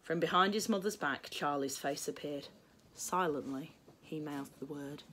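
A middle-aged English woman reading a children's story aloud in expressive narration. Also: low-pitched, unhurried, slight background noise. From England. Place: small book-lined room indoors.

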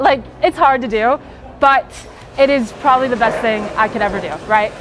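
A teenage girl talking casually about bowling in an upbeat, chatty way with lots of fillers, interviewed in a bowling alley. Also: a little high. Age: teens. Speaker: high school bowler. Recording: music playing underneath.

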